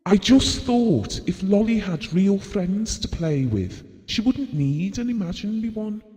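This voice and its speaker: A man's voice: high-pitched, expressive, a little slow, a little mellow. An actor playing an earnest, devoted, guilt-prone middle-aged British husband and father, reminiscing confessionally in an audio drama. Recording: reverberant hall-like room.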